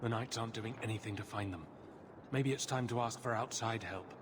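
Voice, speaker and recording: An actor playing a defiant, disgruntled knight recruit in a video game, blunt and indignant in manner. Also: male voice, on the low side, a little fast, noisy background, close to an everyday voice. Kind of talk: video game dialogue acting.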